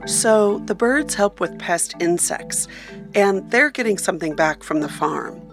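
A woman's voice: mid-range voice, lively intonation, measured pace, vocal fry, music in the background. A woman reporter reading scripted narration for a radio feature.

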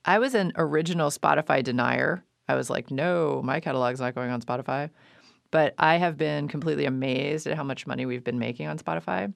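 Gravelly host asking questions. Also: male voice, fairly high pitch, brisk, a bit bright, dry recording, studio quality. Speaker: podcast host, label owner. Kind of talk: interview questions.

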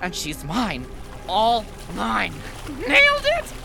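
A man's voice: high voice, wildly swooping pitch, creaky, music in the background, exaggerated voice. A man playing a nerdy, boastful, awkward teenage computer whiz in an audio drama, speaking in internet slang. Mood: ecstatic. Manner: spells out internet slang, halting and eager.

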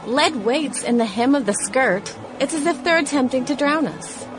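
A woman's voice: sits high, lively intonation, normal speed. A woman voicing a young woman writing diary entries at the beach in 1916, in a radio play. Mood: wry. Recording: music bed behind voice.

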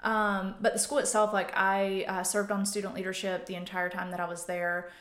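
A woman's voice: medium pitch, flat delivery, normal speed, a bit bright. A woman in her thirties talking casually and reflectively as an interview guest, in an informal way. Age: 30s. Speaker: guest, photographer. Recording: roomy, echoey sound.

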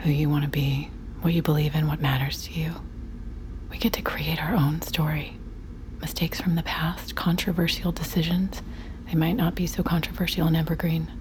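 An actress playing a calm, calculating and persuasive survivor in an intimate audio drama. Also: female voice, low voice, normal speed, noisy background, natural voice. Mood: reassuring.

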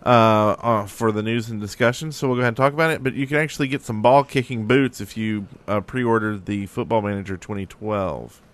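A man's voice: fairly low pitch, a little fast, few pauses. A man co-hosting a gaming podcast, chatting informally about news with a joking manner. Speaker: podcast co-host. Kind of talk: casual group chat about gaming news.

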